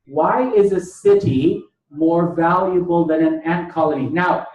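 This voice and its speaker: A man from Thailand at the front of an echoey lecture room, higher-pitched, pressing his argument on students with emphatic repeats and questions. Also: unhurried, darkish tone, lo-fi sound. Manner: emphatic, repeats phrases, poses rhetorical questions. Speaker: lecturer, bioethicist. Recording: echoey room, distant mic.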